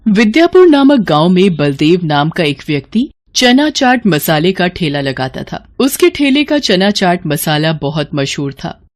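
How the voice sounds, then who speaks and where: A woman's voice: lowish voice, sing-song, measured pace, hardly any pauses. A woman narrating a scripted moral tale for children in a storytelling style.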